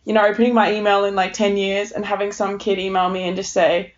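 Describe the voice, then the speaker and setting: Young woman chatting in an even tone. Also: medium pitch, fast talker. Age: young adult. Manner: informal, peppered with "like" and "you know". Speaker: interview guest, world champion athlete. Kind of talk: casual chat. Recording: muffled, slightly dull sound.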